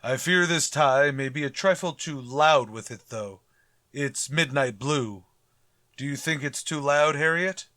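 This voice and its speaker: A pompous middle-aged school principal, acted by a man in an old-time radio comedy style. His voice sits a little high in a dry recording. He speaks formally, with grumbling sarcasm and a vain streak. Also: unhurried, pushed and bright, dry recording. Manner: stuffy, formal, dryly sarcastic. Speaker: actor.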